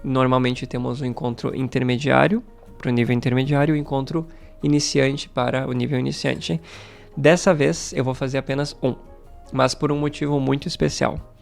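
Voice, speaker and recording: A man's voice: mid-range voice, little pitch movement, normal speed, gravelly, soft background music. A man with a Brazilian Portuguese accent who hosts as a language teacher, talking casually and emphatically to his viewers in a conversational way. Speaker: Portuguese teacher and YouTube channel host. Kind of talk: casual talk to camera, channel update.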